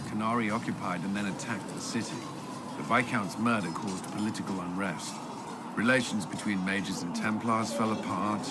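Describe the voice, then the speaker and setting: An actor playing a stern, weary but resolute former templar commander in a fantasy video game, speaking in earnest, formal, soldierly phrases. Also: male voice, lowish voice, close to an everyday voice. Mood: grave, reserved. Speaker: military commander and adviser. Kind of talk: video game character dialogue.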